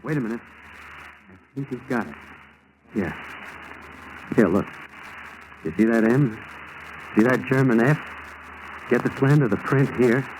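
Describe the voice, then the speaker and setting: Through clear old-radio noise comes an actor playing a determined American male federal agent. His voice is mid-pitched and his manner plain and direct, in 1940s radio drama style. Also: unhurried, pauses often, lightly acted. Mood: eager, intent.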